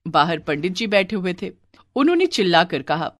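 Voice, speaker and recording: A woman's voice: lowish voice, very animated, moderate pace, no echo, clean studio sound. A woman narrating a scripted family moral story as a storyteller.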